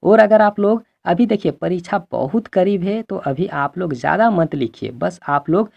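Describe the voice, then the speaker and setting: A man's voice: high-pitched, expressive, normal speed, gravelly. A male teacher from the belt, giving an exam revision lesson, repeating himself and answering his own questions. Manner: repetitive, asks then answers, frequent check-in tags. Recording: dull lo-fi microphone sound.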